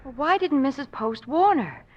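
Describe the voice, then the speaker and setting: Inquisitive, lively lady sleuth's companion. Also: high voice, moderate pace. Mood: questioning. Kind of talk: 1940s radio acting. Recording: dull 1940s radio recording.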